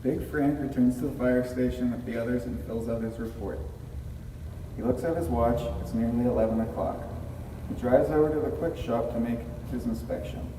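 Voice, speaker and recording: A man reading a children's story aloud as narration. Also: mid-range voice. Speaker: firefighter reading a children's book. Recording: roomy hall, distant mic, faint noise.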